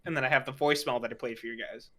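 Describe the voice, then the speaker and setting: A man's voice: medium pitch, fairly even intonation, racing, bright, forceful tone. A man chatting casually as a guest on a podcast. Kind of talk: casual chat.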